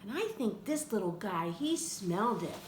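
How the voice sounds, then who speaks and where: An older woman reading a picture book aloud to children, expressive and chatty, with asides to the young listener. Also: medium pitch, moderate pace. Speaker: read-aloud storyteller for children. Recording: echoey room with faint background noise.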